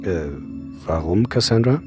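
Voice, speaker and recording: An actor playing a stern, by-the-book police officer in a radio drama, with a clipped official manner. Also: male voice, lowish voice, expressive, unhurried, faint music behind. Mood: doubtful, subdued.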